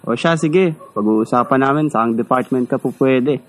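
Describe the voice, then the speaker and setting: A stern male factory supervisor in a radio drama, played by an actor, speaking. He is blunt and scolding, grudging with any favor and quick to dismiss his workers, in a voice of middling pitch. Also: natural voice.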